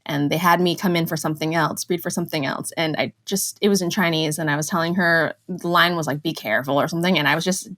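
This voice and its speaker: A woman's voice: low-pitched, fairly even intonation, fast, few pauses, a bit bright. Chatty young woman telling stories. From Canada. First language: Cantonese. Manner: chatty storytelling, laughs often, many fillers. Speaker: actress guest. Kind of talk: casual interview chat.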